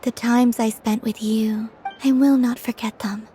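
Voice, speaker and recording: A woman voicing a gentle, teasing, serene young moon goddess in a video game, soft and tender. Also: fairly high pitch, fairly even intonation, unhurried, a little hiss or hum, natural voice. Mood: wistful, tender.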